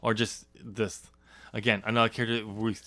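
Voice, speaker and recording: A man's voice: on the low side, flat delivery, normal speed, bright, forceful tone. A man hosting a casual podcast, talking hesitantly about his writing and restarting his sentences. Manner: hesitant, trails off and restarts, laughs often. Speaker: author and podcast host.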